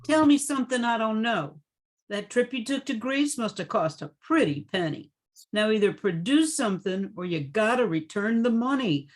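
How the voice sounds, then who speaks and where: A woman's voice: on the high side, animated. A woman playing a saucy, insistent young literary agent in an amateur radio play, blunt and colloquial. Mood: firm, saucy. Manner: brisk, no-nonsense, colloquial.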